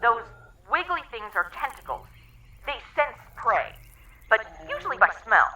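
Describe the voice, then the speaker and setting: High, bright, impatient space crew scientist. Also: female voice, very animated, unhurried, exaggerated voice, low-quality recording. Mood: absorbed, explaining. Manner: snappy, impatient. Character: exasperated, bossy, science-minded spaceship crew woman. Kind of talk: sci-fi comedy audio drama acting.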